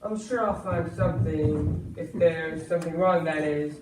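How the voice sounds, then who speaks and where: A man's voice: a little high, unhurried, lightly acted. A man acting a pompous, scheming government land inspector in an amateur stage play. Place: indoor school stage. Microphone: distant audience camera. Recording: echoey school stage from far off, noisy.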